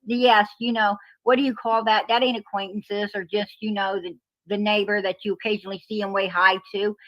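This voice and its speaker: A woman's voice: medium pitch, little pitch movement, fairly quick, dry recording. A woman speaks bluntly and with heat, pressing each point hard. Her voice comes through dull and lo-fi with no room around it. She sounds fed up and emphatic. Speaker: panel guest. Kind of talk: heated livestream panel talk.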